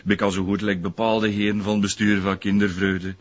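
A low, smooth-voiced West Flemish man , played by an actor in a radio drama. He is an aggrieved but well-off ex-concierge who rambles in folksy dialect. The sound is a touch muffled. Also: level tone, a little fast, close to an everyday voice. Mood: knowing, indignant. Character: aggrieved male ex-concierge turned prosperous art dealer. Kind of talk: naturalistic radio drama dialogue.

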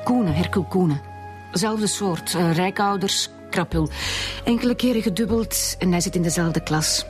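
A woman's voice: on the low side, normal speed, faint music behind, natural voice. A woman acting as a guarded, cynical Flemish maths teacher in a radio drama. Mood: blunt, hesitant. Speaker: actor in a radio serial.

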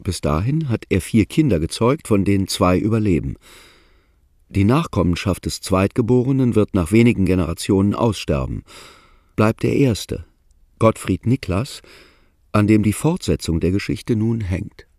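A man narrating a radio play in a calm, measured, reflective way. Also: fairly low pitch, no echo, studio quality.

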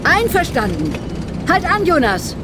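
A woman's voice: extremely high pitch, huge pitch swings, a little slow, background noise, full character voice. An actress playing a cool, wry woman on a desert mission in a sci-fi radio drama. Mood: wry, brisk.

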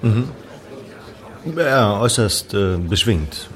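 An actor in a German radio drama playing a reserved, proud gentleman, terse and stiffly polite. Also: lowish voice, animated, slow. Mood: stiff, guarded. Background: music.

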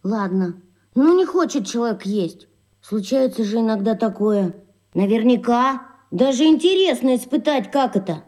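An actress playing a dreamy schoolboy who muses to himself in a children's radio play. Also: female voice, fairly high pitch, a little mellow, natural voice. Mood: reasoning, resigned. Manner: musing, drawn-out inner monologue. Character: dreamy schoolboy Vitka, hearty eater, musing to himself.

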